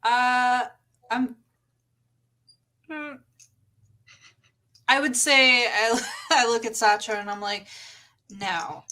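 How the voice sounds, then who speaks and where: Female tabletop player, bright forward voice, unhurried pace, casual and hesitant improvised role-play. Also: mid-range voice.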